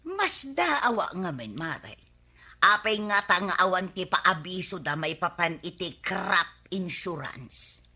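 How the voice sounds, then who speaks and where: Bright, slow-drawling gossipy Ilocano village woman. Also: lowish voice, big pitch swings, husky, heavily acted. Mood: complaining. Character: nosy, scheming gossipy village woman, a comic neighbour. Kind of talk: Ilocano radio comedy drama acting.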